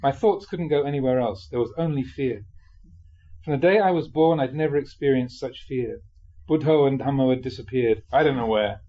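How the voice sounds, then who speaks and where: Mellow, slightly husky man reading aloud. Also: mid-range voice, normal speed, muffled recording. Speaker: reader of Buddhist teachings. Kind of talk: expressive audiobook reading of a spiritual memoir.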